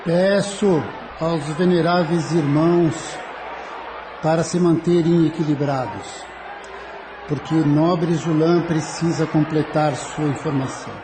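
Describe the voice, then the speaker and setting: A man playing a venerable, solemn leader presiding over an alien council, ceremonious and measured, in an audio drama. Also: on the high side, steady tone, background noise, lightly acted. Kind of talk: spiritist audio drama acting.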